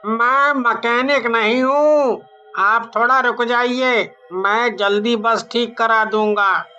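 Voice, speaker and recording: A man playing a harried bus driver in a story, slow and insistent as he announces. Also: extremely high pitch, steady tone, bright, forceful tone, clear, resonant tone, highs cut off, soft background music, full character voice. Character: harried male bus driver, pleading for patience.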